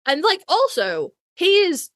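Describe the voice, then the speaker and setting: A woman's voice: sits high, wildly swooping pitch, unhurried, a bit bright, no room sound, studio quality. A woman co-hosting a podcast in casual fan chat, speaking emphatically with plenty of swearing and teasing. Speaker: podcast co-host.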